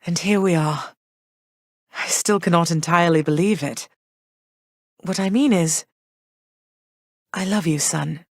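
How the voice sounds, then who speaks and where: An actress voicing a gentle, motherly goddess queen of the underworld, speaking warmly and graciously in game voice lines. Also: fairly low pitch, unhurried, pauses often, dry recording. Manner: warm, gracious, softly reassuring. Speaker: voice actor. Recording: dry studio voice recording.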